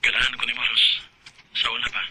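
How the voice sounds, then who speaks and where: A man's voice: medium pitch, completely flat delivery, fast talker, bright, forceful tone, faint music behind, poor audio. A man with a Cebuano Filipino accent acting an earnest, persistent suitor and old schoolmate in an audio drama. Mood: insistent, sincere. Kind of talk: radio drama dialogue.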